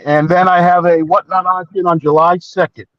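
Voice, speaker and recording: A man's voice: sits high, level tone, normal speed, no echo, highs cut off. A man joining a live stream as a guest, giving short, easygoing replies. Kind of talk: casual live-stream chat.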